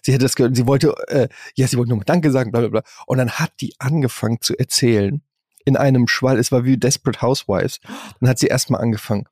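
Husky guy on a podcast telling stories, chatty, very dry room sound. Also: medium pitch, fast, darkish tone, dry recording. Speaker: podcast co-host. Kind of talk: casual chat, telling anecdotes.

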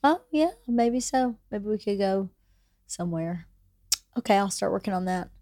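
A woman's voice: mid-range voice, lively intonation, moderate pace, mellow, no room sound. A chatty woman hosting a podcast, talking frankly and swearing now and then. She has a cold and her voice is wearing thin. The sound is dry and close, with no room around it. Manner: chatty, candid, swears casually. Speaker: podcast host. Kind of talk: casual chat between friends.

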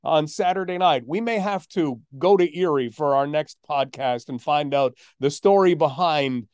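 A man hosting a hockey podcast as a play-by-play announcer, telling stories in a measured way with drawn-out emphasis. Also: fairly high pitch, darkish tone, clean tone, dry recording, clean studio sound. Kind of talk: sports podcast conversation.

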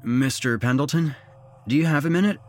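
A man's voice: mid-range voice, expressive, normal speed, voice pushed up, natural voice. A man voicing a frustrated underdog employee in a dramatized story, earnest and aggrieved. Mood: sheepish, earnest. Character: frustrated underdog male employee, pleading then exploding. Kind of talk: dramatized short story reading.

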